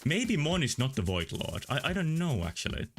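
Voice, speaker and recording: Bright-voiced man streaming a game. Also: a little high, big pitch swings, moderate pace. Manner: rambling, thinking aloud with fillers. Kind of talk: casual game stream commentary. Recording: faint game music behind.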